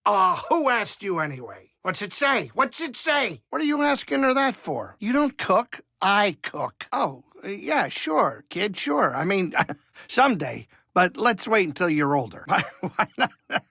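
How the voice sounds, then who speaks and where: A man voicing a grumpy, fretful but soft-hearted older alien pilot and cook in a video game, with a colloquial, fussy, rambling delivery. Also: high-pitched, no echo, studio quality, heavily acted. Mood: flustered. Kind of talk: video game character dialogue.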